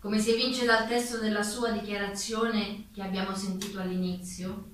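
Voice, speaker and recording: A woman's voice: on the high side, a bit bright, natural voice. A woman narrating a scripted theatrical documentary in a formal, articulate storytelling manner. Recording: echoey room, distant mic, faint noise.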